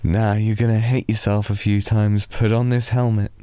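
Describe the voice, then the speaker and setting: A British man softly rambling in an improvised ASMR boyfriend roleplay, telling a drowsy, meandering story to lull a listener to sleep. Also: fairly low pitch, flat delivery, smooth, dry recording. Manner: soft, slow, drowsy storytelling.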